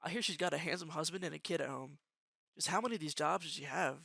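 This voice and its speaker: A man playing a matter-of-fact young student gofer in a gang, narrating in the first person in a deliberate, slangy way for an anime-style fan dub. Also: fairly high pitch, gravelly, pushed and bright, breathy edge, no room sound, full character voice. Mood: wry. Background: music with guitar.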